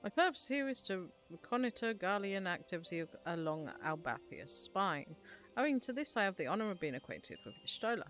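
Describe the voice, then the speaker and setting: A woman's voice: mid-range voice, sing-song, brisk, a bit bright, soft background music. A woman reading aloud the part of a courteous, formal nobleman in a fantasy game.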